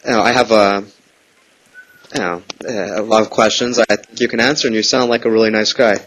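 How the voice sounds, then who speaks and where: A man's voice: lowish voice, steady tone, fairly quick, a bit bright, low-quality recording. A man calling on the phone with casual, meandering questions, hesitant in delivery but persistent. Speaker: prank caller. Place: phone call.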